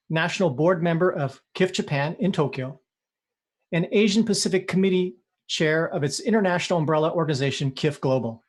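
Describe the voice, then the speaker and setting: A man moderating an online forum, introducing the next speaker in a brisk, upbeat way. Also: on the high side. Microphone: video call.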